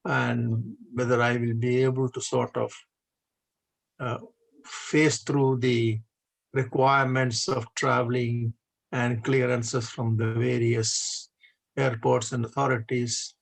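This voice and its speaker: A man in his seventies from India, a native Hindi speaker, answering interview questions as a retired engineer in a deliberate way with frequent hesitations. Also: medium pitch, little pitch movement, creaky, low-quality recording. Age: 70s. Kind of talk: measured conversational interview answers.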